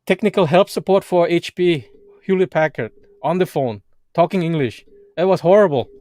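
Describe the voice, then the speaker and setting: A man whose first language is German, hosting a casual livestream chat with halting, animated talk. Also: on the high side, dry recording, clean studio sound. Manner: halting, restarts and fillers, animated. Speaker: vlog host. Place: Edmonton, Canada.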